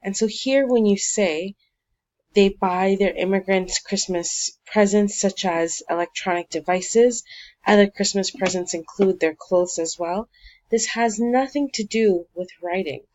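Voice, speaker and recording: A woman teacher calmly going through essay feedback, encouraging but critical, with frequent fillers. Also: medium pitch, a little slow, lo-fi sound. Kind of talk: recorded one-to-one feedback on a student essay.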